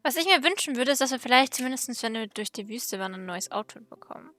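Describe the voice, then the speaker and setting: Bright-voiced woman gaming casually. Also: a little high, expressive, fast talker, no echo. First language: German. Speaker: let's player. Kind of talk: casual gameplay commentary. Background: game music.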